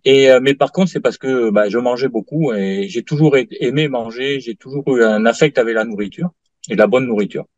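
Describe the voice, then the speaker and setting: A man in his late fifties giving a halting testimonial in an interview, full of fillers and restarts. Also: medium pitch, darkish tone, dry recording. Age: 50s. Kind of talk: hesitant interview answers. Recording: dull lo-fi dry sound.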